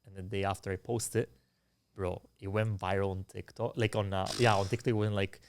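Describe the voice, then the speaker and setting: A young man with an Italian accent talks as a musician guest in a loose, animated interview. He leans on fillers and swears freely as he tells stories. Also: lowish voice, a little fast, a little hiss or hum. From Italian Canadian. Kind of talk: casual interview storytelling.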